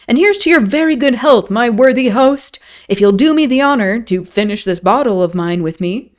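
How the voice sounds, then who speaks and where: A woman voicing a polite, flattering male stranger in a novel, shrewd and cheerful, with a lilting manner. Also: medium pitch, normal speed, lightly acted. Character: smooth courteous gentleman stranger, shrewd and cheerful.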